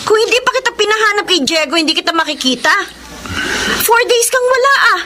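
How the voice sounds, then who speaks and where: A woman's voice: very high voice, big pitch swings, brisk, noisy background. An actress playing a stern young fiancée who scolds her man reproachfully, in a radio drama. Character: young woman, a stern fiancée fed up with broken promises.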